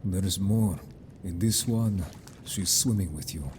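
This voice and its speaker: Man acting a deep, dark-voiced, stern merfolk warrior. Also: unhurried, a little hiss or hum. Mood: grave, probing. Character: stern, blunt merfolk companion, suspicious and ruthless toward humans. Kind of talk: audio drama acting.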